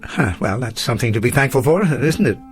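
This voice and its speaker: A man acts out an elderly schoolteacher in a radio play over a music bed. He is kindly and proud, with a mid-pitched voice. His speech is genteel and hesitant, and it rambles along in an old-fashioned way. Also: lively intonation, a little fast, lightly acted. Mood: genial, polite. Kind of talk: radio drama acting.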